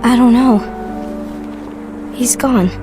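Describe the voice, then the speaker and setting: A woman playing a worried, protective young leader of a Keyblade union in an animated game cutscene, speaking earnestly and with feeling. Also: a little high, slow, over music. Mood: anxious, sad.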